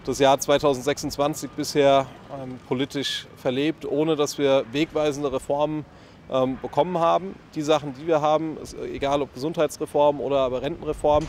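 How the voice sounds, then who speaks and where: A man's voice: fairly high pitch, steady tone, moderate pace, a little hiss or hum. A man from a party youth organisation giving a hesitant, filler-heavy statement outdoors. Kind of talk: interview statement.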